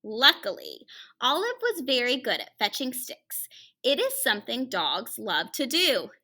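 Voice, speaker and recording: Animated, high-pitched woman reading a children's story. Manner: animated, with dramatic pauses and hushed asides. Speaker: teacher reading a picture book aloud to children. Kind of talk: expressive children's story read-aloud with conversational asides to listeners.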